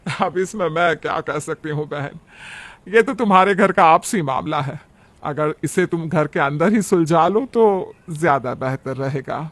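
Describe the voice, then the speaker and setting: A man voicing a gentle, conciliatory middle-aged mother-in-law in a cartoon family story, soothing and instructive. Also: on the high side, a little slow, a little hiss or hum. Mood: tactful.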